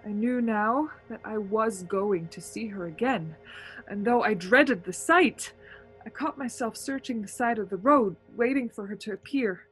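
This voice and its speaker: A woman's voice: mid-range voice, unhurried, faint music behind, lightly acted, low-quality recording. A woman playing a haunted, anxious young driver who tells her story in a suspense radio play, earnest and nervous. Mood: brooding dread.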